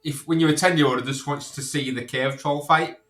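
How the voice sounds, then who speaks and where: A man's voice: on the high side, fairly even intonation, a little fast, a bit bright. A man with a northern English accent chatting casually and jokily as a podcast guest, recorded in an echoey room. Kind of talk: casual chat.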